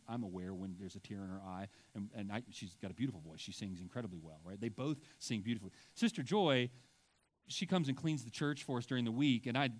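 Middle-aged guy pastor giving a sermon in church, talky, keeps saying right?, trips over his words sometimes. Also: medium pitch. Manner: conversational, self-deprecating, frequent "right?" asides; stammers and restarts when digressing. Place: church sanctuary.

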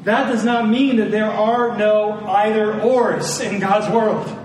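A man's voice: high voice, steady tone, takes it slowly, darkish tone. A man preaching a sermon as a pastor, earnest and emphatic, repeating key phrases. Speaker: pastor preaching to his church. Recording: roomy, distant mic, faint noise.

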